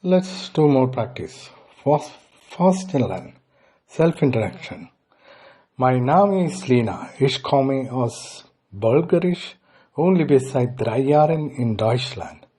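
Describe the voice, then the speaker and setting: Slow, husky-voiced man teaching. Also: mid-range voice, expressive, mellow. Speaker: language teacher. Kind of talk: slow instructional lesson with repetition.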